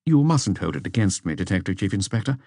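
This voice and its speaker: A man's voice: sits low, big pitch swings, fast talker, darkish tone, no room sound, clean studio sound. A man voicing a cold, polite and precise young examining magistrate in an audiobook. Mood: coolly polite.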